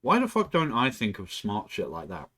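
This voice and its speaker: A man streaming from home, chatting casually and rambling, who often clears his throat and swears. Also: lowish voice, huge pitch swings, moderate pace, bright, forceful tone. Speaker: live streamer. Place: indoors at home.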